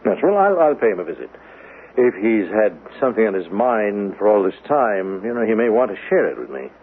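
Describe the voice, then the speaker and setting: An actor playing an elderly, gentle, wistful minister in a 1970s radio drama, speaking in a courteous, hesitant, halting way. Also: male voice, on the low side, big pitch swings. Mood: concerned, hesitant. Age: older. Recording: muffled lo-fi radio recording with faint noise.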